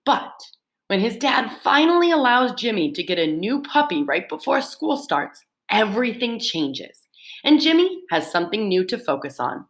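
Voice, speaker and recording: A woman teacher reading book summaries aloud to her students in an expressive storytelling manner. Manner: lively, expressive read-aloud with swooping pitch.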